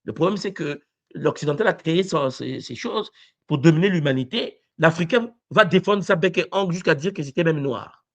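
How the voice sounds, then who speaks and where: Animated man pressing questions. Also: fairly high pitch, normal speed. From Congo. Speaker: university lecturer. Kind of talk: debate-style discussion.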